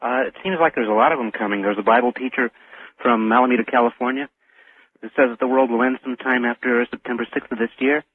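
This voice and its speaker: A man's voice: mid-range voice, steady tone, fast talker, breathy edge. A man phoning a radio show, chatting casually and a little tentatively over the telephone. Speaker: radio phone-in caller. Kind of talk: casual phone-in chat. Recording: thin, muffled telephone line.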